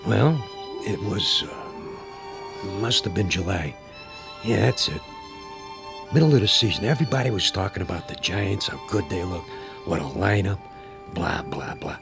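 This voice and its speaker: A chatty American old-timer in a radio play, reminiscing about baseball in a folksy, rambling way. His low, creaky voice swings freely up and down. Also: animated, measured pace, long pauses, music in the background, exaggerated voice. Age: older. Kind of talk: radio drama acting.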